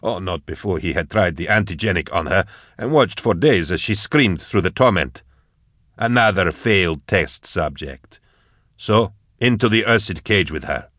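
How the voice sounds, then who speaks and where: Man acting a bitter, grieving, low-voiced older agent. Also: expressive, fairly bright tone, dry recording, studio quality. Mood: grieving, accusing. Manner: accusing, measured, rhetorical. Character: bitter grieving old male agent, accusing his commander. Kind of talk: audiobook character acting.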